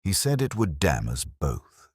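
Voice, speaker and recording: A man voicing a quiet, guarded, faintly sad widow in an audiobook, restrained and composed. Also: low-pitched, wildly swooping pitch, unhurried, breathy edge, dry recording, clean studio sound, full character voice. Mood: guarded, sombre. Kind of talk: audiobook character dialogue.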